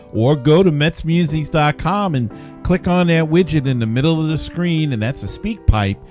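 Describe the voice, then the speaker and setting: Male podcast host, casual sports talk, conversational and slightly halting, mid-range voice. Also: medium pitch, darkish tone.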